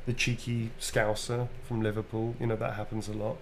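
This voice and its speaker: A man's voice: lowish voice, flat delivery, brisk, slight background noise. A British man, a voice actor, answering fan questions in a loose, chatty way with plenty of ums and restarts. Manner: chatty, loose and informal, full of ums and self-corrections. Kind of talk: casual live Q&A.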